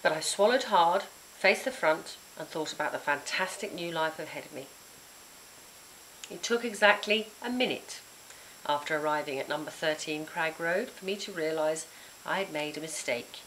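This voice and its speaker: A woman narrating a children's storybook in an expressive, dramatic and emphatic way. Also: low voice, moderate pace, slight background noise, rough recording. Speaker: author reading their own book.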